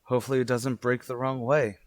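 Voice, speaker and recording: A man acting in an old-time radio drama as a desperate, earnest father looking for work, speaking in a halting, pleading way. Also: medium pitch, flat delivery, no room sound, studio quality, lightly acted. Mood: weary, resolved. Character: desperate, earnest, down-on-his-luck young father seeking work.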